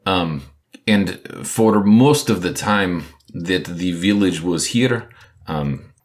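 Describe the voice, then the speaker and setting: A man voicing a male villager who translates for another figure, speaking halting, broken English and dropping small words. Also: sits low. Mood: earnest.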